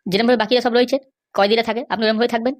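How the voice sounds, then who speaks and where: A woman's voice: on the high side, fairly even intonation, rapid-fire, lightly acted. A woman voicing a sly, insistent male schemer and constable in an amateur comedy cartoon. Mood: dismissive, insistent.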